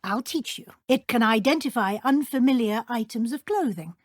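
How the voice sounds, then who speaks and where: Game role: British schoolmistress witch , played by a woman, high-pitched, formal and precise, caring but brisk, recorded very dry. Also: no room sound, natural voice. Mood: instructive. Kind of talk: scripted video game character dialogue.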